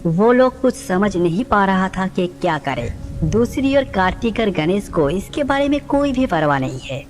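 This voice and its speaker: A woman's voice: mid-range voice, big pitch swings, normal speed. A woman reading scripted narration for a devotional story. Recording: long reverb, music underneath.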